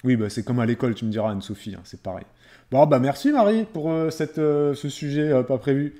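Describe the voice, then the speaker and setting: A man's voice: medium pitch, brisk, warm tone. A man chatting casually as a live streamer, replying to viewers in a conversational way and repeating phrases for emphasis.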